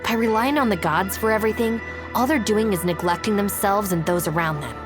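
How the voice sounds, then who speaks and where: A woman's voice: medium pitch, a little fast, over music, natural voice. A woman voicing a composed, practical and blunt young woman in a video game, speaking articulately and matter-of-factly. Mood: frank, reflective. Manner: matter-of-fact, articulate, cool-headed.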